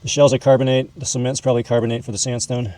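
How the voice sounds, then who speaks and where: A man's voice: medium pitch, flat delivery, racing, warm tone. A man hosting an informal educational video, explaining and showing things in a folksy, conversational way. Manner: folksy, calls objects "this guy". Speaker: host, mineral hobbyist educator.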